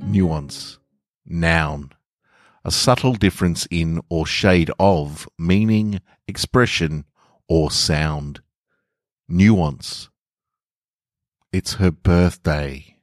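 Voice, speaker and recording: A man's voice: sits low, drawn-out words, no echo, clean studio sound. A man hosting a podcast, talking to listeners and narrating short stories he has written. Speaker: podcast host and author reading own stories. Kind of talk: relaxed podcast introduction and short-story narration.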